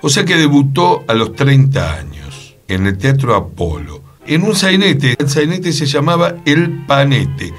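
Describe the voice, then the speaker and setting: Animated Argentine man chatting over music. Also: mid-range voice, a little slow, hardly any pauses. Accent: Argentine, Rioplatense. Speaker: radio co-host. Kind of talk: casual anecdotal radio chat.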